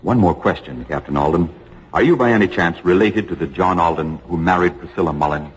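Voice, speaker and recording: Old radio recording with long hollow reverb. a sober male news correspondent with a deep, very husky voice, composed and factual in 1940s reporting style. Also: a little fast. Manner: crisp phrasing, factual, composed.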